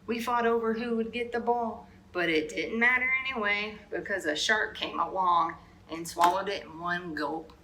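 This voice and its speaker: A woman's voice: mid-range voice, moderate pace, pushed and bright, slight background noise, low-quality recording. A woman storyteller reading a picture book aloud expressively, slipping in brief asides to young listeners. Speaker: children's storyteller.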